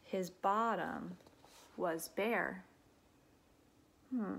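A woman in her thirties reads a storybook aloud at home for young children. Her pitch is average and her pace is unhurried. She delivers each line expressively and deliberately, with teacherly asides. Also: gravelly, a bit bright, husky. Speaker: elementary teacher reading a picture book aloud. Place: living room at home.

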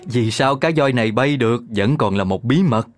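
An excitable, knowledgeable dog father, acted by a man, talks in a medium-pitched voice. He explains things in a fatherly, children's cartoon manner. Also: moderate pace, natural voice. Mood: excited.

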